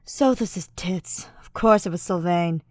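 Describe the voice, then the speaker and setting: A woman's voice: on the low side, measured pace, a little mellow, no echo, studio quality, lightly acted. A woman voicing a brusque, impatient young male fighter with a disdainful manner in an audiobook story. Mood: exasperated. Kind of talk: fan fiction audiobook character voice.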